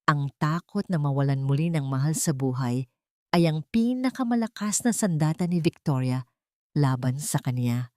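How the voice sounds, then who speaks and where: A woman reads a dramatic audiobook story. Her voice is dark, relaxed and on the low side, in a dry recording with no room sound. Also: normal speed, dry recording. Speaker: audiobook narrator. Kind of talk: dramatic scripted story narration.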